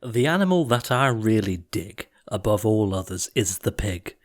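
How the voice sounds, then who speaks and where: A man's voice: on the low side, lively intonation, normal speed, faint music behind. A man reading scripted storybook verse as an audiobook narrator, with theatrical, rhythmic delivery.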